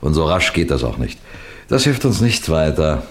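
A shrewd police detective in a 1960s radio play. His voice is very deep and measured. He probes politely, teases gently and narrates his own case with genial authority. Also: sing-song, slight background noise. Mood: pensive, teasing. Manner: measured, probing, gently teasing.

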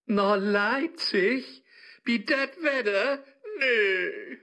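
An actor playing a grumpy, sly devil living in a phone app, speaking rhymed radio play lines with a Low German accent and theatrical sarcasm. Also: male voice, drawn-out words, pushed and bright, full character voice. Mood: sly, servile. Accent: Low German, northern Germany. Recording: music behind the voice.

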